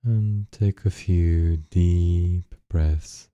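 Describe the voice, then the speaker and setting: A man with an extremely low, very dark and mellow voice guides a meditation. He gives gentle instructions in short phrases, leaving long, slow pauses between them. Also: steady tone, clean tone, no echo.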